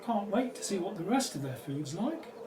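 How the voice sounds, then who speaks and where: An actor as a cocky male archaeologist in a radio drama. His high voice is blunt and bouncy, a little away from the mic with ambience behind it. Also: sing-song, normal speed, recorded from a distance. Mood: bemused. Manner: blunt, informal. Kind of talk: audio drama acting. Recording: off-mic, noisy ambient background.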